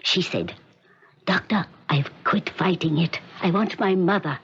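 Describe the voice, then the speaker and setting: An actor playing a formal, cautious hospital doctor in a radio drama, speaking in a measured way. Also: male voice, high-pitched, a bit bright, slight background noise. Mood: pleased. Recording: dull lo-fi old radio recording.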